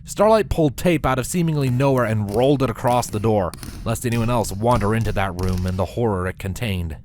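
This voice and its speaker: A man narrating a scripted story with a dramatic, expressive delivery. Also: lowish voice, a little fast, a little hiss or hum.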